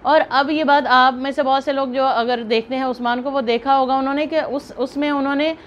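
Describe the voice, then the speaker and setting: A woman's voice: high-pitched, fairly even intonation, brisk. A woman with a Pakistani accent giving earnest, emphatic, unscripted commentary to viewers, often rephrasing as she goes. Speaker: video host and commentator. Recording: muffled lo-fi, clear background noise.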